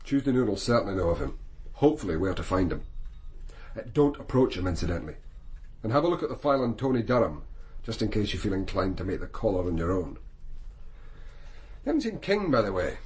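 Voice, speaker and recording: An actor playing a brisk senior police officer in a radio crime drama, giving orders in formal, commanding phrases. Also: male voice, fairly low pitch, sing-song, darkish tone. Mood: brisk, authoritative.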